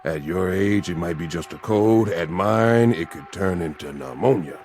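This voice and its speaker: An actor playing a frail, plaintive old hospital patient with congested, elderly talk in a screen drama. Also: male voice, sits low, darkish tone, faint music behind, close to an everyday voice. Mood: wistful. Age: older. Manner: slow, throat-clearing, coughing elderly talk.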